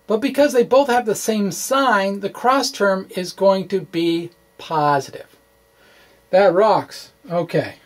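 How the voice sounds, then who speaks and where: Man talking through math, halting and informal. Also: high-pitched. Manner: halting, self-correcting, thinking aloud. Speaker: physics explainer and blogger. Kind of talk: informal math walkthrough, reading and ad-libbing.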